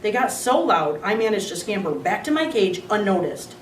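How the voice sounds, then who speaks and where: A woman reading a children's chapter book aloud in an expressive storytelling manner. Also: mid-range voice, moderate pace. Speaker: reader. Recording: roomy, distant, faint hum.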